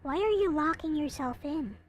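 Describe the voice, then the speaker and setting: A woman voicing a gentle, persistent young woman counselor, kindly and earnest, in a visual novel. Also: sits high, lively intonation, moderate pace, dry recording, soft background music. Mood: earnest urging.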